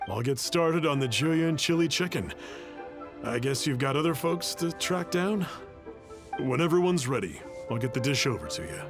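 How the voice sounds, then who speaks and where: A man's voice: mid-range voice, very animated, normal speed. An actor playing a mild, plain-spoken young man who cooks, wistful and friendly, in an anime-style game. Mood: helpful. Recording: faint music behind the voice.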